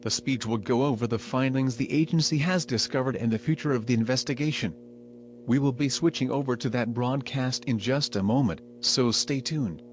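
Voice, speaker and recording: A man's voice: medium pitch, normal speed, close to an everyday voice. A man playing a serious local TV news reporter, delivering a scripted breaking news report. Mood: serious, formal. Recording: clearly noisy recording with hum.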